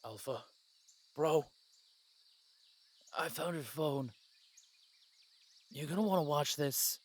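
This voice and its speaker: Over clear background noise, a male actor as a young werewolf from an audio drama talks in a lightly high male voice. He takes his time, slow and casual, with a teasing, laid-back manner. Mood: serious. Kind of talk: casual chat, audio roleplay acting.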